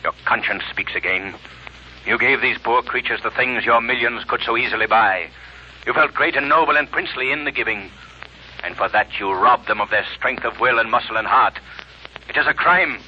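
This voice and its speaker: Forceful invisible avenger, old radio. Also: male voice, mid-range voice, normal speed, husky. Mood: stern, accusing. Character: invisible crime-fighting avenger, commanding, stern, urgent. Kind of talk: 1930s radio drama acting. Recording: scratchy muffled 1930s radio recording.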